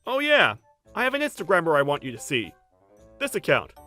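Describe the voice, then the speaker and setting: A woman's voice: deep voice, wildly swooping pitch, fairly quick, sharp tone, faint music behind, heavily acted. A woman voicing an arrogant, whiny young husband in a manga dub, scornful and exaggerated. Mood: smug. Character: arrogant, whiny, cheating young husband obsessed with fancy food.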